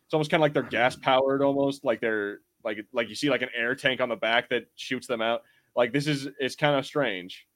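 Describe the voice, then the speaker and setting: A man's voice: mid-range voice, fairly quick, hardly any pauses, a bit bright. Rambling, slangy man podcasting. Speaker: podcast co-host. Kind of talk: casual pop culture discussion.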